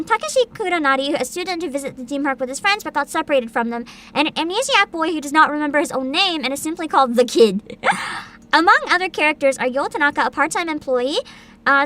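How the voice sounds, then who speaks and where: A woman with a high, bright voice hosts a casual livestream. Her pitch moves around a lot as she chats and reads aloud with bubbly enthusiasm. Soft music plays behind her. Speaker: virtual streamer host. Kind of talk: casual livestream chat, partly reading aloud.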